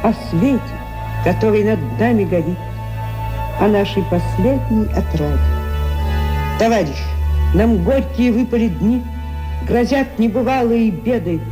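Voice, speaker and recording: High-pitched solemn verse reciter. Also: male voice, unhurried, long pauses, music in the background. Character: solemn wartime poetry reciter addressing fellow citizens.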